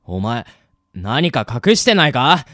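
A man's voice: medium pitch, sing-song, slow, fairly bright tone, dry recording, studio quality. A man acting as a blunt, probing young male friend with casual, direct speech in a Japanese audio drama. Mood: suspicious, concerned.

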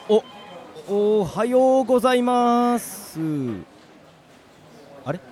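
A man voicing a bewildered young office worker who finds no one can see him, talking in rough casual speech, in an amateur radio drama. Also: very high voice, slow pace, a little mellow, faint music behind. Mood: muttering.

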